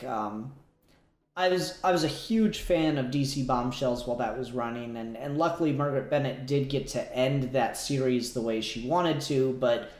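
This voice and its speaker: A man giving a casual review as a podcast co-host, speaking in halting, searching phrases. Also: medium pitch, darkish tone. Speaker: podcast co-host reviewing a fan audio drama. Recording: echoey room sound.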